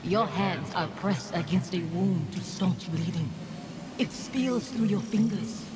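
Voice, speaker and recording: Spectral mourning wife, bitter, accusing, solemn; for a video game. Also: female voice, lowish voice, slow pace, noisy background. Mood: mournful, accusing. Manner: solemn, reproachful.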